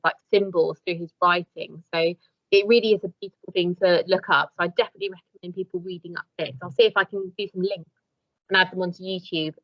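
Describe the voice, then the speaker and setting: A woman's voice: on the low side, no room sound. Friendly woman on a dull laptop call. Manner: encouraging, friendly questions. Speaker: moderator of a school discussion. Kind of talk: casual video-call discussion. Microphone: laptop microphone on a video call.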